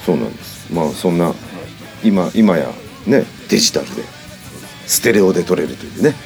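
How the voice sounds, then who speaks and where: A man's voice: deep voice, big pitch swings, a little fast, creaky, soft background music. A middle-aged man chatting casually and telling stories in a blunt, colloquial way on a studio podcast. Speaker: podcast host and musician. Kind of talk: casual chat.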